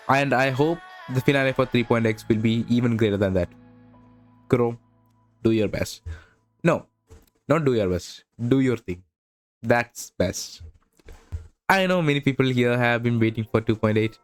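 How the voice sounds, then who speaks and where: Excitable rambling gaming commentator, man. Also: medium pitch. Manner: excitable, rambling, frequent self-corrections. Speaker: gaming content creator. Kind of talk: casual tier-list commentary.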